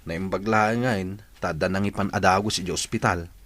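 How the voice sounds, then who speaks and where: A man's voice: low voice, fairly even intonation, moderate pace, a bit bright. A man playing a sympathetic, gossipy village friend in a comedy radio drama, talking in a familiar way. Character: gossipy, sympathetic village buddy sharing bad news.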